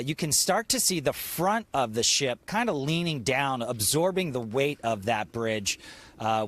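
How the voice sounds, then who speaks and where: A man's voice: mid-range voice, fairly bright tone. Male TV reporter, midrange voice. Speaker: TV news reporter. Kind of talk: live field report and news narration.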